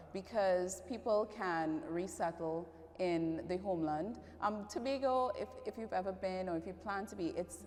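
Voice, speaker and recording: Low-voiced Trinidadian woman, long hall reverb. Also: darkish tone. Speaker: interview guest, fashion and public relations professional. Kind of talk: conversational interview answers. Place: large echoing indoor event venue.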